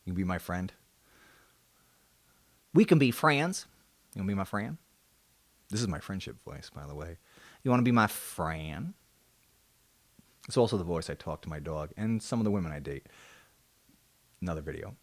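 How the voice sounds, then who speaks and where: A man hosting his own podcast in a casual solo monologue. He rambles and mocks himself, then breaks into sudden loud, jokey bursts. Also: low-pitched, dry recording. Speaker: podcast host.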